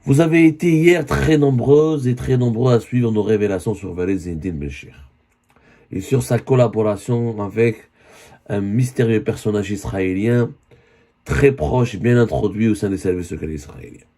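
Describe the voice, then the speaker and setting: A man with a voice hoarse from a cold, giving an earnest spoken news commentary and often correcting himself. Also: on the low side, moderate pace, warm tone. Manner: earnest, with many self-corrections.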